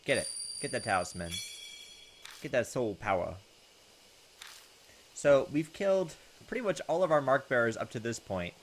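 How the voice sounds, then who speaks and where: A man's voice: medium pitch, animated, normal speed, long pauses, slight background noise. A man hosting a let's play, reading visual novel text aloud and chatting in a hesitant, thinking-aloud way. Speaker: gaming video host. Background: game music.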